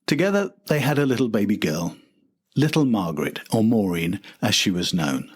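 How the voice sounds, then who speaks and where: A man's voice: fairly low pitch, big pitch swings, moderate pace, slightly rough. An older man reading a scripted documentary narration as the host and storyteller.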